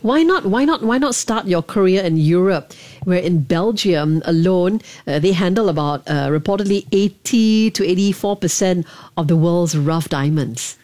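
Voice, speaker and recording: Mellow-voiced woman interviewer. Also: on the low side, animated, normal speed. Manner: inquisitive, prompting follow-ups. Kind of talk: interview questions.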